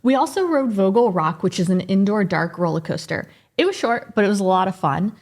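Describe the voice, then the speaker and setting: A woman's voice: mid-range voice, expressive, fairly quick, darkish tone, a little hiss or hum. A woman travel vlogger narrating and chatting in an informal, conversational way. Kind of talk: casual vlog narration and chat.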